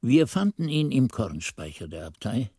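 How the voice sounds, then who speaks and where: An actor playing an earnest, reflective monk who tells his story in expressive, deliberate literary narration for a radio drama. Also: mid-range voice, husky, no room sound. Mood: earnest recounting.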